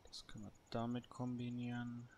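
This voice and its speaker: A man talks his way through a game in a casual tone. His voice sits at an average pitch, and he mutters and muses as if to himself. There is clear noise behind him. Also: monotone, moderate pace, a little mellow. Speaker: gaming YouTuber, let's player. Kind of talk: casual solo gameplay commentary, thinking aloud.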